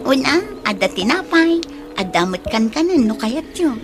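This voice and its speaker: A woman's voice: a little high, sing-song, moderate pace, over music. An actress playing a polite, hopeful young woman street vendor in a radio drama, with a Filipino accent. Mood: polite, friendly.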